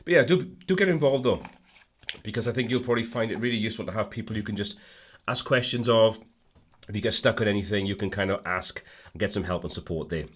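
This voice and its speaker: A man with a cold, a bit hoarse, hosting a casual livestream and rambling through viewer comments with lots of fillers. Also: lowish voice, expressive, fast. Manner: rambling, friendly, many fillers and restarts. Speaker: livestream host.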